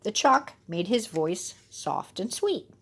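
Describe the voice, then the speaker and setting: A woman's voice: medium pitch, big pitch swings, takes it slowly, vocal fry, breathy edge. A woman telling a children's fairy tale expressively, with dramatic and playful emphasis. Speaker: storyteller.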